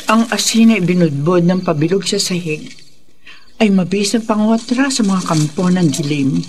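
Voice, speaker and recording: A woman's voice: on the low side, moderate pace, darkish tone, close to an everyday voice. A resolute faith healer, played by a woman, speaks solemnly and with authority. She is a mother battling evil spirits in a radio horror drama, recorded with audible background noise.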